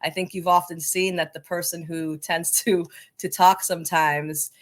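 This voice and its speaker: A woman's voice: on the low side, flat delivery, normal speed. A woman speaking as an interview guest, explaining things earnestly and conversationally. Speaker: interview guest from a coaches association.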